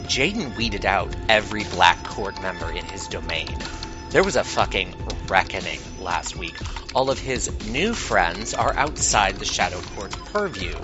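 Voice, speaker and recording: Audio drama: measured, needling schemer, played by a man, lowish and very expressive, quietly menacing, music underneath. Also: lo-fi sound. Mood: warning, needling. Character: smooth, wry, quietly menacing court conspirator. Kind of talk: scripted audio drama acting. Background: music, keyboard typing.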